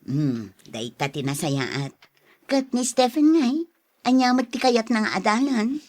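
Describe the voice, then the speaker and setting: Lady doing a chatty friendly woman in a radio drama, voice a bit high. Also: animated, unhurried, a little mellow. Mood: chatty, pleased. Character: friendly, talkative woman, sympathetic acquaintance of the mother. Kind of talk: audio drama acting.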